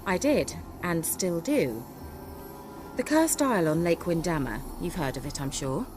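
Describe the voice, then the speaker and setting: A woman's voice: on the low side, animated, unhurried, music in the background. An actress playing a poised, sly sorceress in a fantasy game, refined and teasing as she coaxes. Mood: intrigued.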